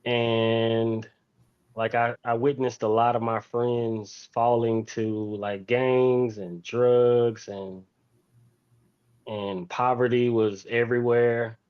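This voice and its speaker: A man tells his story in an interview. He takes his time, speaking slowly at a middling pitch and circling back on phrases to stress them. The audio is a little muffled and lo-fi. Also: flat delivery, clear, resonant tone. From Fort Worth, Texas, United States. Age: 40s. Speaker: interview guest, teacher.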